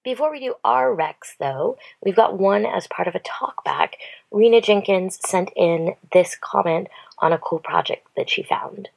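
Woman podcast host, lots of fry, husky, casual segment intros. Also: mid-range voice, unhurried, low-quality recording.

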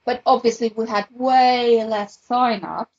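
A woman's voice: fairly high pitch, fairly even intonation, unhurried, slightly rough, dull audio. A woman explaining things casually as a moderator on a podcast panel.

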